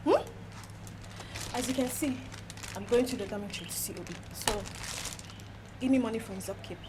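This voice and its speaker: An actress playing a defiant, scheming wife in a film drama, sharp-tongued and insistent, with a Nigerian accent. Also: female voice, a little high, fairly quick, close to an everyday voice. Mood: defiant, demanding. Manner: scornful, insistent. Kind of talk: Nollywood film drama acting. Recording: clear background noise, location ambience.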